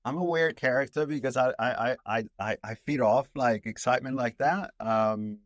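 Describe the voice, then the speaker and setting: A man's voice: medium pitch, normal speed, no room sound, clean studio sound. A man from Italy whose first language is Italian, a documentary filmmaker telling a travel story in an interview, rambling with many fillers and restarts.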